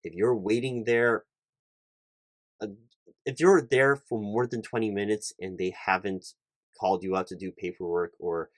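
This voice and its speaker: A man's voice: fairly low pitch, fairly even intonation, normal speed, long pauses, dry recording, lightly acted. A man playing a fussy, officious recruiting manager in a one-sided interview roleplay, rambling and repetitive with pedantic detail. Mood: officious, instructing. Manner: rambling, repetitive, pedantic, stumbling over long lists. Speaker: ASMR roleplay performer.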